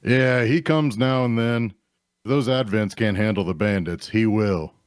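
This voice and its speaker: An actor playing an easygoing, practical tavern keeper in a fantasy drama read, casual and matter-of-fact. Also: male voice, on the low side, unhurried, smooth, no room sound, clean studio sound, close to an everyday voice.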